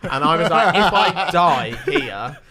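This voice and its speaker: A young man from Wales with a southern English accent, telling sweary, animated stand-up stories on a casual podcast. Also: on the high side, measured pace, crowd noise. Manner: sweary, animated anecdotes with acted-out quotes. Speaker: stand-up comedian guest.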